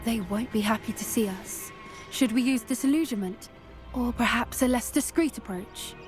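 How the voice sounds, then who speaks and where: An actress playing a cautious, sharp young female student witch in a video game, wary and analytical. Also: fairly high pitch, moderate pace, natural voice. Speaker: student companion. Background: game music.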